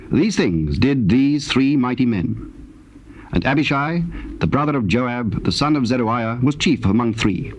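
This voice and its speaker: A man narrating scripture from the King James Bible in a formal, measured storytelling manner. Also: mid-range voice, low-quality recording. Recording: old cassette tape, faint background noise.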